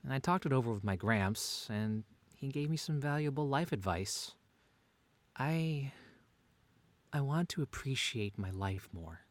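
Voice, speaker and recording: A man's voice: medium pitch, expressive, a little slow, no room sound, close to an everyday voice. A man playing a playfully bickering, doting stay-at-home dad in an audio drama, wry but earnest. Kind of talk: two-hander audio drama reading.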